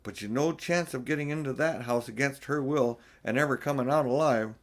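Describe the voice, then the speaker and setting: A man's voice: medium pitch, fairly quick, voice pushed up, lightly acted. A man voicing a kindly, motherly female beaver in a storybook, plain-spoken and matter-of-fact. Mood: firm, kindly. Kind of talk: storybook character voice.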